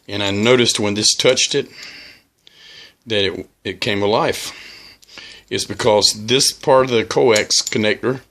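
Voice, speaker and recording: A man giving a casual hands-on demonstration as a radio hobbyist, talking in a halting, plain-spoken, cautionary way. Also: fairly low pitch, a bit bright. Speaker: amateur radio hobbyist presenting a how-to video.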